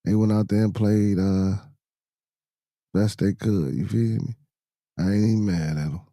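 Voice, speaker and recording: A hoarse man with a low, dark, mellow voice hosts a casual show. He talks in loose slang and mutters to himself as he fights with the screen share. The sound is dry and close. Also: steady tone, measured pace, no room sound. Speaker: podcast host. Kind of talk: casual live-stream chat.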